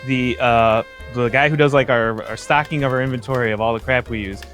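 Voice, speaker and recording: A man's voice: medium pitch, a little fast, fairly bright tone, faint music behind. A young adult man chatting casually and enthusiastically in a rambling, conversational way. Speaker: member of an amateur script-reading group. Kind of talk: casual group chat, showing off collectibles. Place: at home on a group video call.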